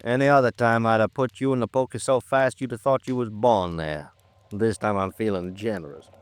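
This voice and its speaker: Gruff, deep American police lieutenant played by a man. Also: fairly even intonation, brisk, no echo, natural voice. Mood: smug, magnanimous. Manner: blunt, folksy, scolding. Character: gruff, lecturing male police lieutenant, grudgingly lenient. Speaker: supporting actor. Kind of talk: old-time radio drama acting.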